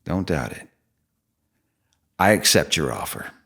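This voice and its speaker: A man's voice: a bass voice, very animated, creaky, a bit bright, husky, exaggerated voice. An actor playing a mysterious male stranger in an audio drama, a sly tempter who teases and coaxes without hurry. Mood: assured. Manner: teasing, coaxing, unhurried.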